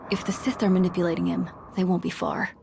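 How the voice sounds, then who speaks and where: An actress playing a grave, gracious senator, speaking formally and in a measured, earnest way. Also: female voice, fairly low pitch, little pitch movement, creaky, noisy background. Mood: somber concern. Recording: game music behind the voice.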